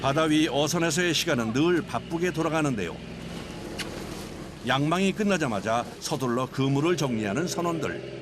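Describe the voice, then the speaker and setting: A man's voice: fairly high pitch, animated, normal speed, very noisy. A man reading scripted documentary narration in Korean, with music playing behind him. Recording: loud music bed behind voice.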